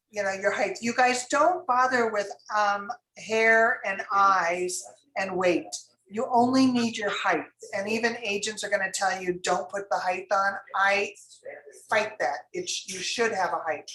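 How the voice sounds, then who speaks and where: A woman's voice: medium pitch, unhurried, fairly bright tone, roomy sound, poor audio. Chatty woman giving acting advice. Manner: chatty, rambling with many fillers. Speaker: casting director. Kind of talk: advising actors in a class.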